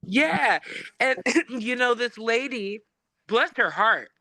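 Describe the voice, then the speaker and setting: A woman's voice: fairly high pitch, expressive, slow, sharp tone, no room sound. A woman from Samoa hosting a casual podcast talk, effusive and spiritual, with lots of fillers. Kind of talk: casual conversation.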